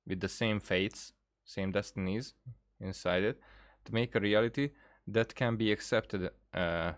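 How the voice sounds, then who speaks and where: A man with a lowish voice comments casually on a game. He thinks aloud in a rambling, halting way, often doubling back on his words. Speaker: gaming video creator.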